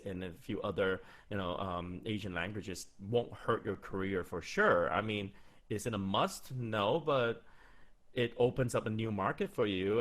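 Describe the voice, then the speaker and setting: A man in his thirties, a voice actor, answering interview questions in a loose, chatty way with frequent restarts, recorded on a studio mic at home. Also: on the low side. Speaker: voice actor giving career advice. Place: small room at home, indoors. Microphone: large studio mic with pop filter, headphones on.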